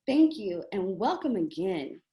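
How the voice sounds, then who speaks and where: A woman's voice: medium pitch, big pitch swings, a little slow, warm tone, reverberant. A woman hosting an online reading series interview, upbeat and welcoming as she introduces a guest. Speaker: club president and host.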